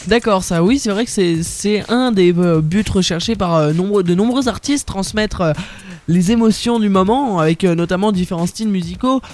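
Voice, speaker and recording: A man's voice: sits high, normal speed, a little mellow, faint music behind. A young man hosting a radio interview, talking casually with many fillers and restarts. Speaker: radio host.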